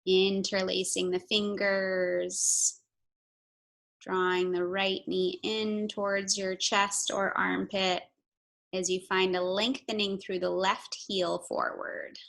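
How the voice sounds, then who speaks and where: Slow-paced woman guiding yoga, creaky voice. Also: mid-range voice, little pitch movement, a bit bright. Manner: soothing, measured cueing with audible breaths. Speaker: yoga instructor. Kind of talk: guided yoga and breathing instruction.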